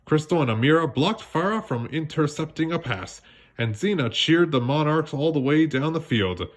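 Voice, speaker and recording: A man reads a children's story aloud with very animated pitch. He narrates expressively and now and then trips over a word and corrects himself. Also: normal speed. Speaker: storybook reader.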